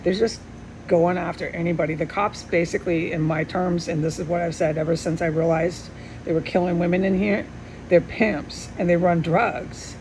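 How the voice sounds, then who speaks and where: Gravelly middle-aged woman, noisy recording. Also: moderate pace. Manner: earnest, insistent, repetitive for emphasis, swears. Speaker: vlogger. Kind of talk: rambling personal monologue. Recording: steady background hum and rumble.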